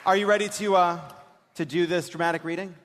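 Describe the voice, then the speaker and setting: A man with a notably high voice hosts from a large stage. His delivery is wry and emphatic, made for a live crowd. The hall rings around his words, with some noise underneath. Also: steady tone, a little fast, a bit bright. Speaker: host of a live comedy political podcast. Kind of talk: stage hosting to a live audience. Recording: big reverberant theater, some noise.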